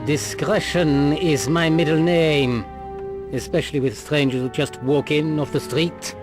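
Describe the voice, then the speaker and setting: Slow, sly shady dealer man acting. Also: on the high side, fairly even intonation, over music, close to an everyday voice. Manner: slow, insinuating. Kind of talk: video game cutscene acting.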